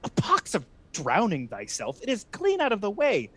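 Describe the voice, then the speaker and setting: A man's voice: sits high, huge pitch swings, normal speed, breathy edge, heavily acted. A man playing a scheming, resentful Venetian ensign in a Shakespeare radio play, persuasive and theatrical. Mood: emphatic persuasion.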